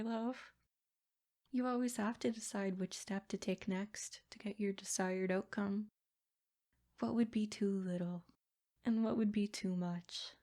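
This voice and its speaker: A woman's voice: mid-range voice, dark tone, natural voice. A woman voicing a sadistic, possessive princess in an ASMR roleplay, speaking in formal, haughty phrases with silky menace. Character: sadistic, possessive yandere princess, smug captor. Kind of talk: ASMR roleplay, scripted character monologue.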